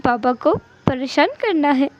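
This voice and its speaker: A woman's voice: high voice, lively intonation, measured pace, lo-fi sound. A woman voicing a mischievous five-year-old boy who talks in simple, repeated childish phrases as part of a told story. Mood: playful, naughty. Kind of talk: amateur storytelling.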